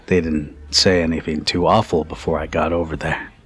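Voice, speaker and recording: A man playing a protective, teasing, dominant bartender in an intimate ASMR roleplay, speaking in a deliberate, commanding yet caring way. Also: level tone. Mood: concerned, soft. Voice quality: low husky voice.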